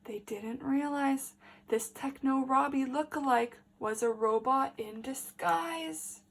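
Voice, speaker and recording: A woman with a high voice reads a children's storybook as a teacher would. Her delivery is slow and emphatic, shaped clearly for young listeners and addressed straight to them. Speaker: teacher reading a picture book to children. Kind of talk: expressive storybook read-aloud with questions for young children.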